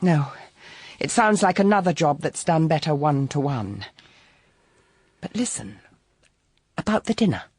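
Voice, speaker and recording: An actress playing an educated, composed 1930s London private detective, articulate and earnest, in a radio drama. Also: female voice, low-pitched, expressive, measured pace, pauses often, a little mellow, slightly rough, no echo, heavily acted. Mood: flustered, pleased.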